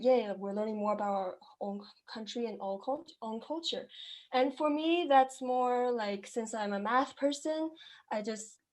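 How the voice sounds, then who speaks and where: A young woman from China, raised in Kenya, answering interview questions in a casual, chatty way with many fillers and restarts. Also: medium pitch. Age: young adult. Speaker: guest entrepreneur.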